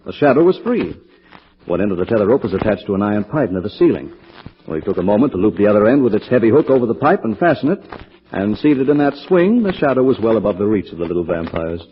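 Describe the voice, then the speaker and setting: An actor playing a calm, urbane gentleman sleuth, composed and wry, in 1940s radio drama style on a muffled, hissy recording. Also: lowish voice, quick pace.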